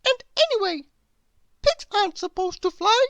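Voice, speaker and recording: A woman voicing a small excitable male bird with a very high, squeaky voice. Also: moderate pace, vocal fry, no echo, lo-fi sound, full character voice. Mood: doubtful.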